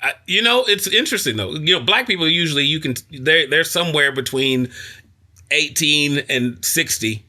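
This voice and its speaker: A man's voice: a little high, expressive, normal speed, pushed and bright. A man in his mid-thirties co-hosting a podcast, talking in casual chat with lots of laughter and teasing. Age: 30s. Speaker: podcast co-host and college soccer coach.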